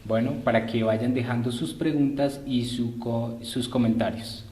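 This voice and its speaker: A man's voice: medium pitch, steady tone, a little slow, dark tone. A man hosting a live stream, politely greeting and informing viewers in a hesitant, improvised way. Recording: roomy echo.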